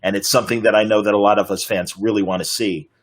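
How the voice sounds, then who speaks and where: A man's voice: on the low side, fairly even intonation, quick pace. Guy hosting a video call panel, kinda rambly questions, bit of background hiss. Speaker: interview host and moderator. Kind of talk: fan panel interview over video call.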